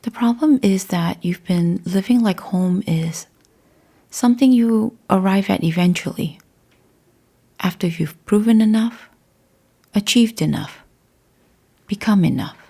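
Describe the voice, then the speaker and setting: A woman leading a guided meditation in a measured, hushed and deliberate way, leaving long pauses between short phrases. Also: medium pitch, slightly rough. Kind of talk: slow guided meditation narration.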